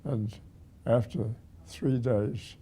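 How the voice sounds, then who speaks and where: Very old man, husky and dark-voiced. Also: medium pitch, fairly even intonation. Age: 100. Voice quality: husky, aged voice. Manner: halting, with repeated words and pauses. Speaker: retired museum director, award recipient. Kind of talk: reminiscing.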